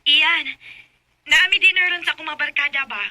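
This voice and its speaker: A woman's voice: high voice, fairly even intonation, moderate pace, pushed and bright, no echo. A woman playing a pushy, coaxing young woman on a phone call in a radio drama, with a Filipino Bisaya accent. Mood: coaxing, excited. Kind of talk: Philippine radio drama acting.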